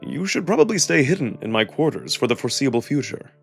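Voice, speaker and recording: A man voicing a measured, diplomatic jackal nobleman from a visual novel, wary and deliberate in his formal phrasing. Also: mid-range voice, very animated, vocal fry, soft background music. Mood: cautioning. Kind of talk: visual novel character reading.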